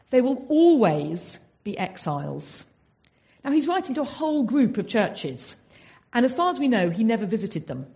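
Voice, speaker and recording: A woman's voice: on the high side, dark tone. An English woman giving a Bible talk in an earnest teaching manner, often asking her listeners rhetorical questions. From England. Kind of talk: sermon.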